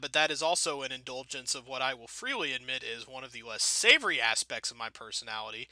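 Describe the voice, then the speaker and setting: A man's voice: medium pitch, bright, forceful tone, no room sound, rough recording. A man giving casual, rambling video game commentary as a let's play host, wordy, wry and self-deprecating.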